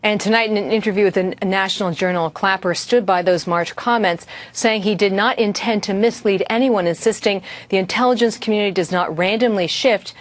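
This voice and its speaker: A woman's voice: medium pitch, brisk, few pauses. A woman with an American accent delivering a scripted broadcast news report as a correspondent.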